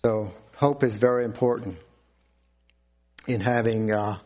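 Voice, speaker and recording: A man preaching a sermon, reading scripture aloud and explaining it in a deliberate, earnest way. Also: mid-range voice, steady tone, a little mellow.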